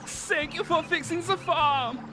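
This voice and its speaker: A woman's voice: extremely high pitch, a little slow. A woman voicing a cheerful, perky little farm helper creature in a cartoon video game. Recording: music under the voice.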